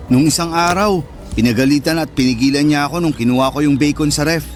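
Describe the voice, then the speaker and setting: Casting: male actor for wounded older brother in a Filipino-accented radio drama, highish voice, emotive and earnest, soft music bed. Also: fast, close to an everyday voice. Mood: hurt, resentful. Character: sensitive, hurt older brother, neglected by his mother. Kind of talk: Tagalog radio drama acting. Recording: music under the voice.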